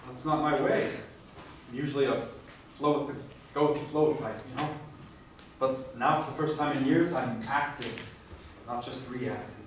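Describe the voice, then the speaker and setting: A man's voice: medium pitch, normal speed, long pauses, natural voice. A man playing a self-effacing, easygoing fellow in a stage musical comedy, modest and hesitant. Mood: sheepish. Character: mild, self-effacing easygoing man finding his nerve. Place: live staged reading before audience. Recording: echoey stage, distant mic, faint noise.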